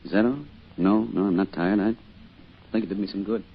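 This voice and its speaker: A man's voice: lowish voice, steady tone, fairly quick, breathy edge. An actor playing a subdued, grieving middle-aged father and small-town shopkeeper, plain-spoken and hesitant, in an old-time radio drama. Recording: muffled old radio recording, music behind.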